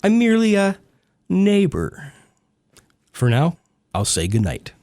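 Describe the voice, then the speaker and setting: Male actor as sardonic self-made gentleman, period drama; highish voice, highly animated, bitter and mocking. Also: takes it slowly, heavily acted. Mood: gloating, menacing. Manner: mocking, coolly formal. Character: bitter, sardonic former stable boy turned wealthy gentleman. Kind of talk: period literary radio drama acting.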